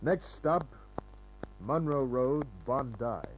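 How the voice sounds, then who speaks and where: A man's voice: mid-range voice, slow, natural voice. A man playing a cynical, laconic private detective in a 1950s-style radio crime drama. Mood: deadpan, hardboiled. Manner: laconic, deadpan, wisecracking. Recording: dull, hissy old radio recording with hum.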